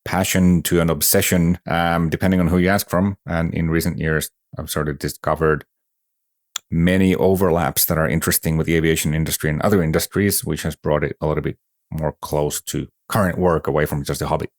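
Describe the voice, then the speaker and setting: A man's voice: rumbling low, steady tone, brisk, no room sound. A middle-aged man from Finland with a Finnish accent, answering interview questions in a dry, matter-of-fact, self-deprecating way. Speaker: guest.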